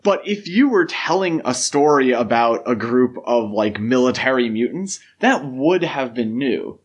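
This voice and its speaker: A man's voice: medium pitch, expressive, measured pace, dull audio. A man co-hosting a podcast, discussing film casually in an analytical, explanatory way. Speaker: podcast co-host.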